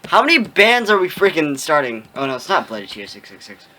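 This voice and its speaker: A woman's voice: deep voice, very animated, brisk, bright, forceful tone, a little hiss or hum. A woman co-hosting in casual, joking chat, commenting in a dry, nitpicking way. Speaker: co-host commenting on a read-aloud.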